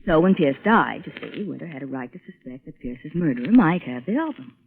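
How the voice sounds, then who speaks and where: An American actress playing a seductive, scheming blackmail accomplice in a 1940s radio drama, with a coaxing, intimate manner. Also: female voice, deep voice, sing-song, fairly quick, gravelly, slight background noise, full character voice. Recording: thin, phone-like vintage radio recording.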